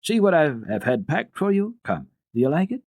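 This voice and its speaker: A man's voice: on the high side, very animated, moderate pace, darkish tone, no room sound, studio quality, pitched above the performer's own voice. Man as affectionate young girl, eager, raised swooping voice, storybook style. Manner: eager, triumphant. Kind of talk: dramatic children's storybook reading.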